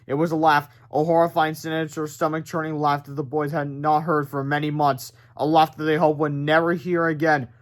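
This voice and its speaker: A man's voice: a little high, flat delivery, brisk, hardly any pauses. A man narrating a children's storybook aloud in a theatrical, informal way.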